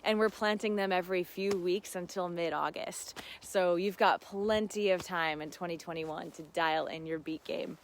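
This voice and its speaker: A woman's voice: on the low side, fairly even intonation, moderate pace, hardly any pauses, slight background noise. A woman hosting a casual live gardening Q&A, talking in an effusive, affirming, giggly and conversational way. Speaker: gardening host answering live viewer questions.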